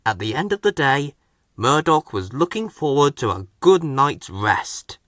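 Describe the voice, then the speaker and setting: A man reading a children's story as narrator, in a measured, expressive way. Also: mid-range voice, no echo, clean studio sound. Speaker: storybook reader.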